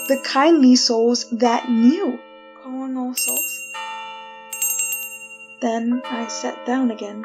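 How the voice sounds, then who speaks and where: Actress as a high-voiced, haunted condemned woman. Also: natural voice. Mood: exhausted, wondering. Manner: theatrical, slow, deliberate. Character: condemned innocent woman prisoner, desperate, haunted, telling her tale. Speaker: actor in a radio drama. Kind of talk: retro 1940s radio drama acting.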